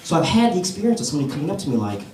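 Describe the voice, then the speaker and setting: A man's voice: on the high side, very animated, racing, heavy background noise. An American man doing a stand-up set at an open mic, telling stories in a laid-back way and playing to the crowd. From United States. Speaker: stand-up comedian. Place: comedy club stage with a live audience. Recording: roomy club sound from a distance, crowd laughter. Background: audience laughing.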